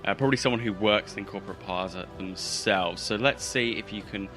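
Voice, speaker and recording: A man's voice: lowish voice, brisk, pushed and bright, soft background music. A man speaking casually as game master, improvising narration and rulings for a tabletop roleplaying game.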